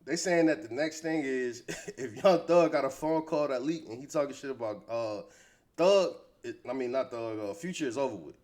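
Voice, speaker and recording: A man's voice: medium pitch, normal speed, darkish tone. American guy doing rap gossip commentary, slangy and opinionated, talking like he's with friends. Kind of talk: casual hip-hop gossip commentary.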